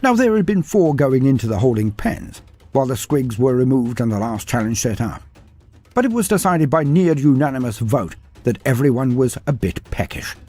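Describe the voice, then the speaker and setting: Husky man narrating with wry lilt. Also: medium pitch, very animated, normal speed, mellow. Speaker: storyteller. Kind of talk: scripted fantasy narration.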